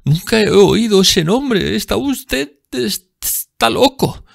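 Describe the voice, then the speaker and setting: A cornered young gentleman from a storybook, voiced by a man, stammering and frantic. The voice is pushed far up into a strained high register with a raspy edge. It sounds a little set back from the mic. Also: animated, slow, dry recording, clean studio sound, full character voice. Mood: terrified. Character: panicked young gentleman, cornered, then cold.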